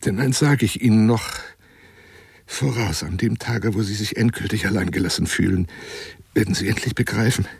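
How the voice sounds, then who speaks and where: An actor playing an obsessive, menacing, wounded male caller in a German radio play monologue, theatrical and florid, with a raspy voice and insinuating delivery. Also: fairly low pitch, moderate pace, dry recording. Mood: scornful, vengeful. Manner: theatrical, florid, insinuating, swinging into breathy whispers.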